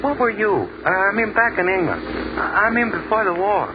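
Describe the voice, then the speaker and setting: An actor playing an easygoing American sergeant, casual and deferential, in 1940s radio drama style. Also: male voice, big pitch swings, moderate pace, highs cut off. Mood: easygoing, chatty. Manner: casual, plain-spoken, deferential. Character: easygoing, eager American army sergeant, former plumber. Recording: noisy 1940s radio broadcast, vehicle rumble.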